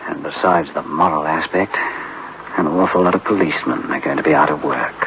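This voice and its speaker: A man's voice: rumbling low, fairly quick, heavy background noise. A man playing an earnest, worried objector in a radio drama, hesitant and stammering, on a muffled old recording. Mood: earnest, uneasy. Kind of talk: 1960s-style radio drama acting.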